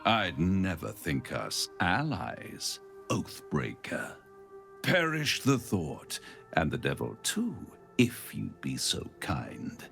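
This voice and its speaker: An actor playing a suave, smug, silver-tongued devil in a fantasy game, pompous and florid, savouring each phrase. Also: male voice, low voice, huge pitch swings, takes it slowly, vocal fry, a bit bright, soft background music, heavily acted. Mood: grave, warning. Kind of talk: theatrical fantasy game acting, rhyming and grandiose.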